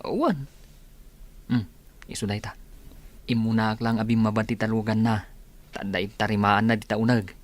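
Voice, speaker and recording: A man's voice: lowish voice, normal speed, pauses often, lightly acted. Man acting an easygoing, deferential village workman. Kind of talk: Ilocano radio comedy drama acting. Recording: faint vehicle noise behind.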